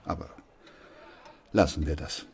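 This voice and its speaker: A man playing a sober, weary, steadfast doctor in a German radio play, speaking in a grave, measured, reflective way. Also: darkish tone, a little hiss or hum, close to an everyday voice. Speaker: actor playing the narrator and lead.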